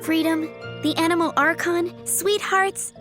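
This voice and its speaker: A woman voicing an expressive, sentimental young townswoman in a video game. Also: very high voice, unhurried, music in the background. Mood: wistful, warm.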